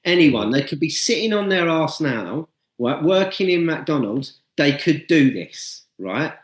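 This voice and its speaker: A man's voice: on the high side, big pitch swings, a little slow. A man co-hosting a podcast, ranting bluntly and swearing freely in casual chat. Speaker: podcast co-host. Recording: echoey room.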